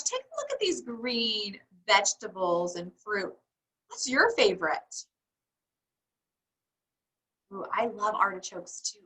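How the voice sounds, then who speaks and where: A woman reading a storybook to young children, encouraging them and pausing for answers to her questions. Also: on the high side, bright, forceful tone, husky, rough recording. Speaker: read-aloud teacher for young children.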